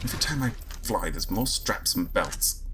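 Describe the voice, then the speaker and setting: Man playing a sardonic trigger-happy space agent, deep, husky, animated delivery, grumbling, sci-fi audio drama. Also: moderate pace, background noise, lightly acted. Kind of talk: first-person sci-fi audio drama narration and dialogue.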